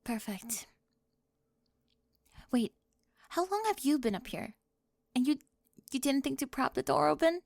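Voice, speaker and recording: A young woman voicing a sharp-tongued cheerleader bully for an ASMR roleplay, sarcastic and defensive, often dropping to a whisper close to the mic. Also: high voice, expressive, unhurried, creaky, long pauses, dry recording, heavily acted. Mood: snippy.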